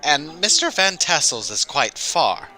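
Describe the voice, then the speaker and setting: An actor playing a fussy, nervous, proper village schoolmaster who gets flustered, in an audio drama. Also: male voice, fairly high pitch, wildly swooping pitch, unhurried, music in the background, rough recording. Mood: timid, pleading.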